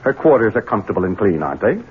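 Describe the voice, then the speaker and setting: A weak, selfish heir from the American South in a radio play. The voice is lowish, very rough and husky, and swoops widely in pitch. It comes through a dull, narrow old broadcast. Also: male voice, big pitch swings, very fast, heavily acted. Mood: irritable, cold. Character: smooth-talking Southern bachelor heir, weak, selfish, evasive. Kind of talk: 1970s radio drama acting. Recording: muffled 1970s radio broadcast.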